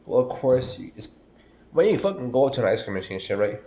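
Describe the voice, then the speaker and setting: A man's voice: medium pitch, sing-song, fast, dark tone, echoey room, dull audio. A man with a stuffy cold giving a casual, slangy, profane sermon, calling listeners "bro" and "man". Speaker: preacher. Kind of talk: casual street-style sermon.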